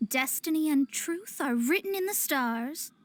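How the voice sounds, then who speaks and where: A woman's voice: high voice, lively intonation, a little slow, slight background noise. An actress playing a proud young female astrologist in a video game, speaking in lofty, formal, theatrical phrases. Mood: smug, lecturing. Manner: formal, archaic, lofty diction. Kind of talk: anime-style video game voice acting.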